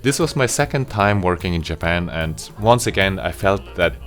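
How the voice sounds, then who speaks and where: A low-voiced man from Austria tells the story of his own work as an opera director. He speaks earnestly and appreciatively, in a calm vlog narration with faint music underneath. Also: normal speed.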